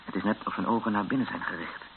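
An actor playing a weary, frightened captive who narrates a radio drama in brooding short sentences. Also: male voice, on the low side, rapid-fire, close to an everyday voice. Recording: muffled, noisy old radio recording.

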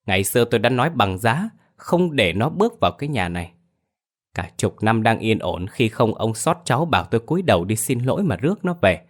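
A man voicing a sharp-tongued elderly mother-in-law who argues in a whiny, defensive way. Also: big pitch swings, fairly quick. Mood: scornful. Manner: shrill, whiny, argumentative.

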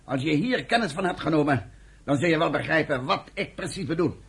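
An insistent underground leader in a radio play. His voice is fairly high and very rough, with an impatient push. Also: fairly quick, full character voice. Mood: pressing. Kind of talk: Dutch radio play acting.

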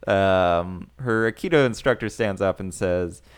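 A man's voice: deep voice, measured pace, smooth. A man co-hosting a casual podcast, recapping a show with wry, sarcastic asides. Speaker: podcast co-host.

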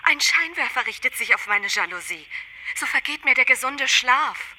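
A woman's voice: high-pitched, expressive, moderate pace, bright, forceful tone, heavily acted. A woman playing an exasperated, sleep-deprived neighbour in a radio play, tense and complaining. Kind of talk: dramatic radio play acting.

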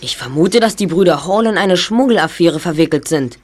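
A woman's voice: on the low side, expressive, brisk. A woman voicing a clever, self-assured young boy detective in a German audio drama, explaining things precisely. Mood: confident, deducing.

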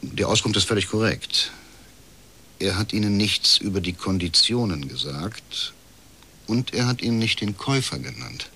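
An actor playing a bitter, middle-aged male official confiding as a whistleblower in a radio crime drama, with a husky voice and measured delivery. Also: lowish voice, bright, forceful tone, exaggerated voice. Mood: dry, bitter. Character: disillusioned, chain-smoking male privatisation official, uneasy whistleblower.

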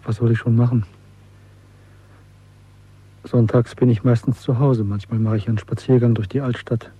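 Guy playing a bitter middle-aged secret rebel in a radio play, intense n deliberate, bit husky, dull dry sound. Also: medium pitch, flat delivery, warm tone, lightly acted. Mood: resigned. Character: disillusioned, bitter man of nearly forty, secretly rebellious clerk. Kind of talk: German radio drama acting. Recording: dry, muffled old radio production.

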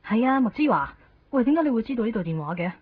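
A woman's voice: on the high side, expressive, fast talker, breathy edge. A woman acting a wistful young Hong Kong woman in a Cantonese radio drama. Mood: anxious, surprised. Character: wistful young woman longing for a lost love. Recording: muffled 1990s radio recording, music behind.

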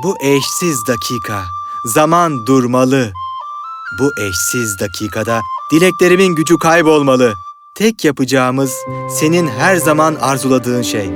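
A man's voice: medium pitch, expressive, unhurried, over music, close to an everyday voice. A man playing a polite, romantic young hero in a fairy-tale cartoon, earnest and pleading. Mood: yearning. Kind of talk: animated fairy tale acting.

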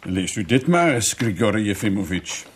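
Casting: dignified, polite monarch for radio drama, fairly deep, measured and commanding. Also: male voice, very animated, a little hiss or hum. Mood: grave. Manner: formal, measured. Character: courteous, authoritative Russian tsar, a grown man.

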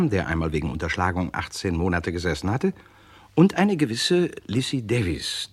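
Low, husky crime-story narrator. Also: male voice, exaggerated voice. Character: dry, wry male narrator of a crime case. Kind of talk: first-person crime radio play narration.

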